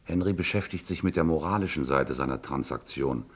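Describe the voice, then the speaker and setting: An actor playing a pompous, philosophizing rogue in a German radio crime play, gravelly and grandiloquent, lecturing as a self-styled aesthete. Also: male voice, extremely low pitch, a little fast. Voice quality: gravelly, husky.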